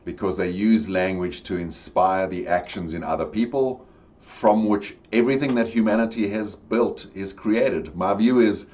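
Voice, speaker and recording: Podcast guest: deep-voiced South African man, middle-aged, thoughtful explainer, dull lo-fi audio. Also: moderate pace, a little mellow. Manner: reflective, expansive explainer. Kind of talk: conversational interview.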